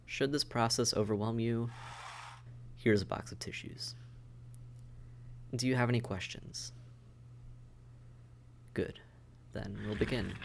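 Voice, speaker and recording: Man playing a gravelly, businesslike vampire caseworker. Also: on the low side, a little hiss or hum. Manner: matter-of-fact, bureaucratic, dryly hesitant. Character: weary, businesslike male vampire intake officer mentoring newcomers. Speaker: medical examiner and caseworker. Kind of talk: scripted audio roleplay, spoken to the listener.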